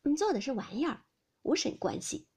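A woman voicing a confident, clever young noblewoman with a brisk manner in a classical novel audiobook. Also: high voice, sing-song, dark tone, voice pushed up. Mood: dismissive.

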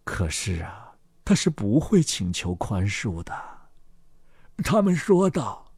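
A man giving an expressive scripted narration of a fairy tale. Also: on the low side, takes it slowly, vocal fry, breathy edge, no room sound, studio quality.

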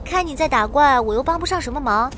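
A woman's voice: high-pitched, expressive, fast, fairly bright tone, slight background noise. A woman playing a cheeky, money-loving, boastful young mage who also narrates a fantasy audio drama. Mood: cheerful. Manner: playful, bouncy, boastful. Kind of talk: audio drama acting with first-person narration. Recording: background music under the voice.

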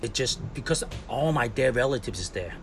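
A man's voice: mid-range voice, fairly quick. Laughing Hong Kong-born man in his forties. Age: 40s. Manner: animated, laughs often, acts out quotes. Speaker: guest, skateboarder. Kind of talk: casual interview storytelling. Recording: clear background noise.

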